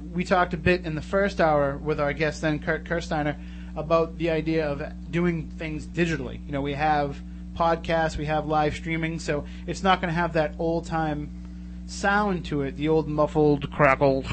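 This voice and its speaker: A man's voice: on the high side, fairly even intonation, normal speed. A man hosting a casual live radio interview in a studio, chatty and wordy. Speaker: radio show host. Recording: radio studio, loud noise and hum.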